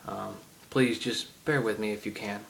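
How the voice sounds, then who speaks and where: A man's voice: fairly low pitch, fairly even intonation, moderate pace, creaky, a little hiss or hum, rough recording. A man with a heavy Southern accent rambling through a casual game rant, full of ums and side tangents. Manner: chatty, many ums and asides. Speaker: gaming vlogger. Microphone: iPod camera.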